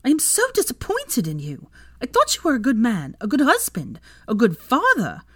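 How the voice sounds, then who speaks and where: An indignant young woman, acted out by a female reader, scolding her wayward brother in a story. Her voice is raised in pitch and emphatic, in a dry, close recording. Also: wildly swooping pitch, moderate pace, husky, no echo, heavily acted. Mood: indignant, ashamed. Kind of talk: dramatised fan fiction reading.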